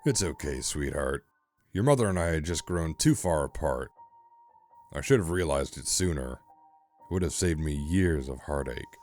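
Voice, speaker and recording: A man's voice: extremely low pitch, lively intonation, moderate pace, darkish tone, soft background music. A man playing a genial middle-aged father pony in a horror story, affable and chatty with hidden menace. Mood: reassuring. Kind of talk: character acting in an audio horror story.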